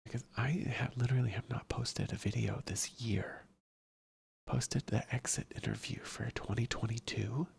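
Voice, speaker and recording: An ASMR streamer chatting casually in a hushed, breathy whisper that slips into a soft murmur, rambling and repeating phrases. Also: mid-range voice, fairly even intonation, normal speed. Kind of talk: ASMR, casual live chat.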